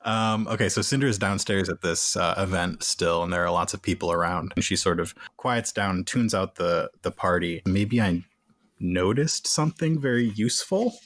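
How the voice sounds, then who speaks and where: Lower-voiced man at a tabletop game. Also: normal speed, fairly bright tone. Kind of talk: casual tabletop roleplay chat.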